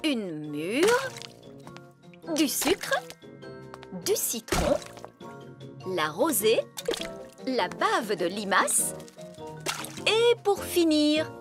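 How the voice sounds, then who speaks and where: A woman voicing a brisk, self-assured and scatterbrained fairy nanny in a French cartoon dub. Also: high-pitched, heavily acted. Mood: incanting. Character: bossy, scatterbrained fairy nanny who casts spells. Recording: music bed behind the voice.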